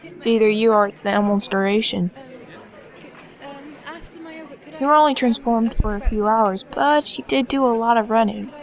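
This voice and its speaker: A woman's voice: on the high side, brisk, lightly acted. A woman voicing a brainy, helpful young boy in an audio drama, explaining things analytically. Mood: thoughtful.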